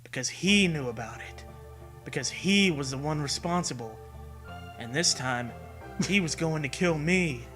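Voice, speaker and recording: A man's voice: a little high, lively intonation, measured pace, bright, forceful tone, faint music behind. A man reading a scary story as a narrator, with dramatic, earnest delivery. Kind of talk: dramatic scripted narration of a creepypasta.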